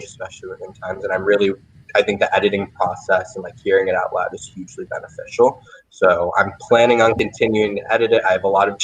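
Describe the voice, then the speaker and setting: A man's voice: on the low side, a bit bright, breathy edge, rough recording. A young man hosting a casual livestream Q&A as a playwright, thinking aloud with lots of "like" and "kind of". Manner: informal, thinking aloud, often saying "like" and "kind of". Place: remote video livestream.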